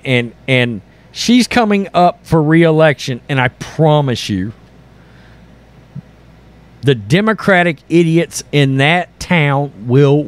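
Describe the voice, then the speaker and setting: A man hosting an online commentary channel, reading and reacting to news in a blunt, sarcastic, exasperated way. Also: a little high. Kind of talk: opinionated political commentary mixed with reading news aloud.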